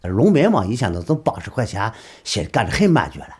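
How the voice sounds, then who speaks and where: A man's voice: lowish voice, sing-song, fast talker, a little mellow, breathy edge. A man talking colloquially and without a script as an interviewee. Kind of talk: unscripted, colloquial interview talk.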